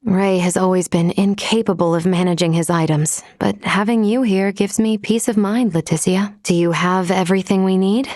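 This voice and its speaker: A woman voicing a courteous, analytical female android companion in a video game, speaking in a formal, measured, precisely worded way. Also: medium pitch, steady tone, a little mellow, dry recording, studio quality, close to an everyday voice. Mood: calm, polite.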